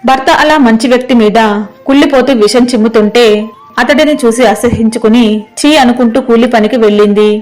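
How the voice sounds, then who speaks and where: A woman's voice: fairly high pitch, fairly even intonation, a little fast, a little mellow. A woman reading a scripted story as its narrator. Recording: music behind the voice.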